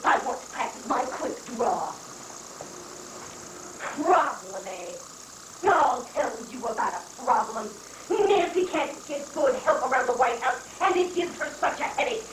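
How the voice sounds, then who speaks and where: A woman's voice: high voice, animated, a little slow. A woman playing a blustering, whiny president with a cowboy streak in an amateur dramatic reading of a satire. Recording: noisy, roomy live reading from far off.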